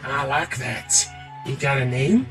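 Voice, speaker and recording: Theatrical man with a wildly swinging pitch. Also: expressive, slow, recorded from a distance, music in the background. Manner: theatrical, switching between many character voices. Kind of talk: voice acting demo reel of game and cartoon character impressions.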